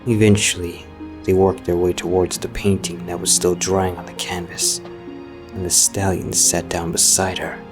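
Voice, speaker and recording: A man's voice: sits low, little pitch movement, normal speed. A man narrating a scripted story as a storyteller. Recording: music behind the voice.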